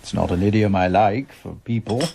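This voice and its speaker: An actor playing a polite, reserved middle-aged English visitor in a radio drama, courteous, understated and tentative. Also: male voice, on the low side, normal speed, creaky, noisy background.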